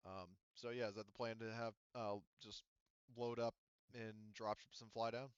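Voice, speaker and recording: A man acting as game master in a casual, unscripted tabletop session, thinking aloud in a halting, casually profane way. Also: lowish voice, flat delivery, clear, resonant tone, dry recording, muffled recording.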